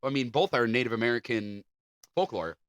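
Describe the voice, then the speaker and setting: Male podcast co-host with a bright, forward voice, mid pitch, casual delivery, dry close recording. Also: animated, fairly quick, dry recording. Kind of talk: casual chat and reading stories aloud.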